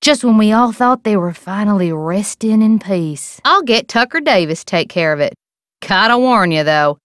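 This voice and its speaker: A woman's voice: mid-range voice, expressive, normal speed, fairly bright tone, no echo, clean studio sound, lightly acted. A woman playing a folksy, wily small-town shopkeeper with a rural American accent in a video game. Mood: gossipy. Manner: folksy, drawling, colloquial, sing-song coaxing. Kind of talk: video game character acting.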